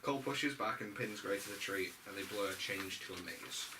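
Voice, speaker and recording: A man's voice: lowish voice, moderate pace. A man reading stage directions aloud at an amateur script read-through, sometimes stumbling over the text. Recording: distant mic, faint room noise.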